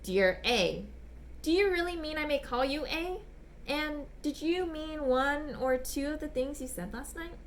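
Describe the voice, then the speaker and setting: Smitten gentleman letter writer played by a woman, old-fashioned, courteous and gushing, fairly high voice, faint noise behind. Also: lively intonation, measured pace. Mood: eager, grateful. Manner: polite, apologetic, ardent, old-fashioned phrasing. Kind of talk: dramatic reading of love letters.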